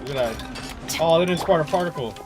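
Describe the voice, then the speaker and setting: A man's voice: a little high, lively intonation, a little fast. A man in his twenties chatting casually and informally with viewers as he plays, rambling and teasing. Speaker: game livestreamer. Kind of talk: casual chat while gaming, answering viewers. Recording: game music behind the voice. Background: video game music.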